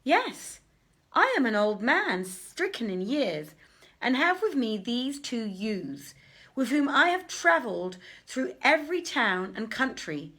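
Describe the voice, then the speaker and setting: A woman plays an elderly storybook vizier, courteous and dignified. The speech is formal and declamatory, delivered at an unhurried, stately pace. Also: a little high, sing-song, a bit bright, voice pushed up, exaggerated voice. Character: old male wazir, dignified, courteous, stricken in years.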